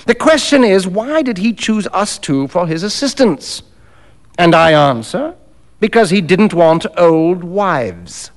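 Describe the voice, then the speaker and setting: Man acting a sneering, animated young doctor. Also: sits high, full character voice. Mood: coolly persuasive. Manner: brisk, worldly, sneering. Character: cynical, dapper young male doctor, unscrupulous and cool.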